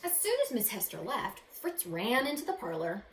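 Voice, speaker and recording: Expressive woman reading a storybook, echoey room. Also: mid-range voice. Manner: dramatic, pausing to ask young listeners questions. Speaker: teacher reading a picture book aloud to children. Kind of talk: expressive children's storybook read-aloud. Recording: roomy echo, faint background noise.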